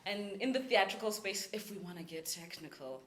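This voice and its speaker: A woman's voice: medium pitch, animated, brisk, echoey room, slight background noise. A woman on a discussion panel, talking casually and laughing often while she explains. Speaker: cast member in a panel discussion.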